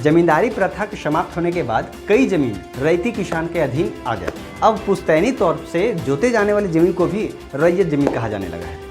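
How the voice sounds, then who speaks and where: A woman reading a scripted news explainer as narrator. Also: low voice. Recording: music behind the voice. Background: background music.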